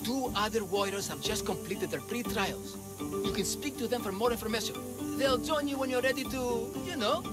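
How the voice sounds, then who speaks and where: A man's voice: very high voice, normal speed. An actor playing a nervous, scheming male trial organizer who stammers and wheedles like a salesman. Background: game music.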